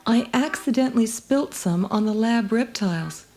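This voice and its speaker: A woman's voice: on the high side, animated, moderate pace, darkish tone, faint music behind. A woman playing an earnest resistance scientist in an audio storybook. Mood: tense, earnest. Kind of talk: dramatised audio storybook acting.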